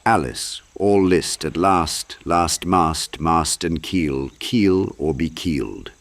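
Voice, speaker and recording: Male narrator as forgetful desperate knight, deep pitch, halting delivery, confused, earnest, dark fantasy audiobook. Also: expressive, exaggerated voice. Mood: rambling, winding down.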